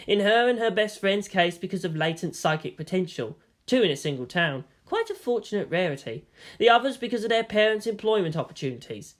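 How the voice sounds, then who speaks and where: A narrator reading fan fiction aloud in a steady, even storytelling manner. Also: mid-range voice.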